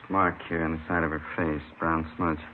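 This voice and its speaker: Morgue doctor for vintage crime radio: very deep, plain-spoken, clipped, noisy narrow-band sound. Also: male voice, level tone, moderate pace. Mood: matter-of-fact. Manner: terse, factual. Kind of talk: 1950s radio crime drama acting.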